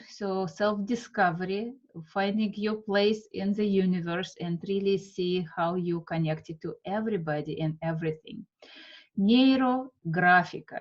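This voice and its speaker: Slow Russian-accented woman instructor. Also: fairly low pitch, highs cut off. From Siberia, Russia. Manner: unhurried, halting, with fillers. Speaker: art instructor. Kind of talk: online class introduction.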